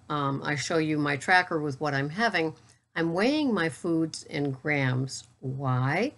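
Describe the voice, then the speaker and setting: Low-voiced woman in her sixties, steady and plain. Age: 60s. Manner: steady, matter-of-fact, self-described monotone; chatty with wry asides. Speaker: keto vlogger and coach. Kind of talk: casual talk to camera.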